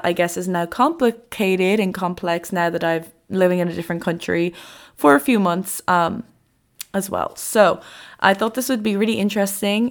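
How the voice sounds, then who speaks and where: A young woman hosting her podcast in a loose, chatty way with lots of fillers, a little congested and nasal from a cold. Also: medium pitch. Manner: chatty, rambling with many fillers. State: congested, a bit sick. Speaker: podcast host. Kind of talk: casual solo podcast chat.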